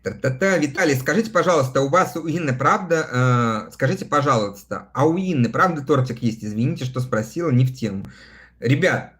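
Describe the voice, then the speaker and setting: A man's voice: medium pitch, lively intonation, fairly quick, fairly bright tone. A man in his thirties chatting casually on a livestream, reading viewer comments aloud and answering them between laughs. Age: 30s. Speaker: live streamer reading viewer chat.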